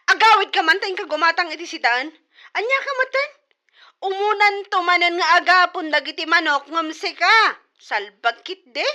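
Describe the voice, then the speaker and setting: Very high, bright Ilocano woman played by an actress. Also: animated, moderate pace, full character voice. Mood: nagging. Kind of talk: comedy drama acting.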